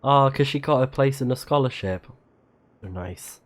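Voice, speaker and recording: A man streams a story game with casual, off-the-cuff commentary. His voice sits at an ordinary male pitch, and he murmurs reactions or reads text from the screen as he goes. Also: moderate pace. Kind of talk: casual let's play commentary.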